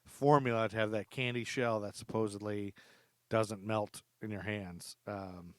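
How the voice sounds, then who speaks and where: A man's voice: on the low side, fairly even intonation, measured pace, no room sound. A man hosting a casual history podcast, chatty and rambling, laughing often. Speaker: podcast host. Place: lives in Charlotte.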